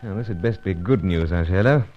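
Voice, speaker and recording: A man's voice: sits low, fairly quick, soft background music. An actor playing a suave British secret agent who talks in a clipped upper-class way around his pipe, in an old radio drama. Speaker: narrator and lead. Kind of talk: 1950s radio drama acting. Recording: dull old radio recording.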